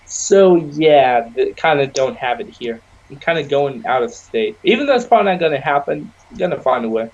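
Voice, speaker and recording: A man's voice: medium pitch, expressive, a little fast, few pauses, darkish tone, lo-fi sound. A young man whose first language is Arabic, co-hosting a casual podcast, rambling along and filling gaps with "you know". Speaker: podcast co-host. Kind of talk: casual chat.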